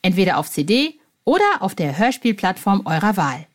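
A woman's voice: mid-range voice, sing-song, fairly quick. A woman announcer reading scripted promotional narration addressed to listeners. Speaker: advertising announcer.

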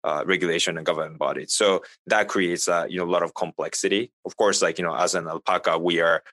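A man from Japan with a Japanese accent, a native Japanese speaker, answering as an interview guest in a halting, conversational way with repeated filler phrases. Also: low-pitched, fairly even intonation, a bit bright, no echo. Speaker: interview guest, fintech business representative.

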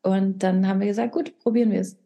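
A woman's voice: medium pitch, fairly even intonation, fast talker, dark tone. A young woman talking candidly as a podcast guest, rambling and dropping English phrases into her speech. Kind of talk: casual podcast conversation.

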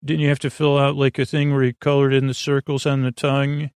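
A man hosting a sleep podcast with a creaky voice, rambling in a soothing, meandering, silly way and fumbling his words as he improvises. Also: medium pitch, level tone, a little fast, darkish tone, no echo, studio quality. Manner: soothing, lulling. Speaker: podcast host.

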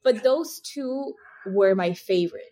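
Mexican woman in her late 30s, spanish first language, mid-pitched voice, rambling fond stories, tosses in spanish words. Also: animated, a little slow, dark tone. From Mexico City. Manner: chatty, fond storytelling, drops in Spanish words. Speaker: guest, snack company founder. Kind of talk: casual interview chat.

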